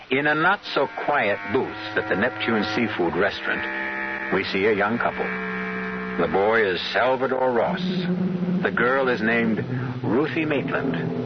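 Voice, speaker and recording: A man hosting and narrating a radio drama in measured, dramatic scripted storytelling. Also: on the low side, noisy background. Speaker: host and narrator. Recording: dull, humming old radio recording.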